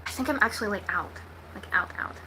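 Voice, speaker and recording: A woman's voice: mid-range voice, quick pace, pushed and bright, slight background noise. A woman from Texas with an American accent, chatting casually to livestream viewers in a blunt, slangy, sweary way. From Texas, United States. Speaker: livestream host.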